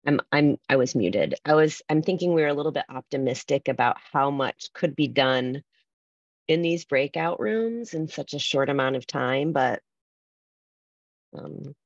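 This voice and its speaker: A woman's voice: rumbling low, lively intonation, unhurried, dry recording. A woman presenter chatting casually with colleagues on a video call. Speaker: conference presenter. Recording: dull video-call audio.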